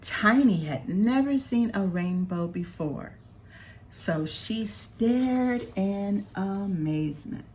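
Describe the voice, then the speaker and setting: A woman narrates a children's storybook at a slow, careful pace. Her delivery is expressive, with animated pitch movement and deliberate phrasing. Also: a little hiss or hum. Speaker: children's author reading aloud.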